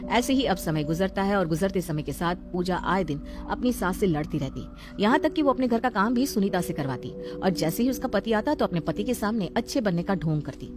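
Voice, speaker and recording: A woman storyteller reading a scripted moral tale as narrator. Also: lowish voice.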